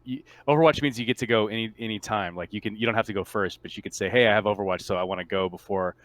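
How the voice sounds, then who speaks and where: A man's voice: fairly low pitch, racing, a bit bright, low-quality recording. A man talking casually as game master during a tabletop roleplaying session. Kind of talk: casual gaming talk.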